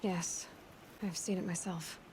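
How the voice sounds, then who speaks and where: An actress playing a determined, self-reliant young huntress in a video game, speaking in a direct, guarded, earnest way. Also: female voice, on the low side, steady tone, a little slow, darkish tone, a little hiss or hum, rough recording. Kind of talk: dramatic video game dialogue. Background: background music.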